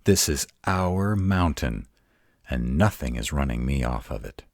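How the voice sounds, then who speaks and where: Man voicing a very deep, grumpy were-dragon. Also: animated, no room sound, clean studio sound, full character voice. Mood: defiant, protective. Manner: gruff, exasperated, halting one-sided dialogue. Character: grumpy, protective male were-dragon, rattled but defiant. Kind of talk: roleplay audio acting.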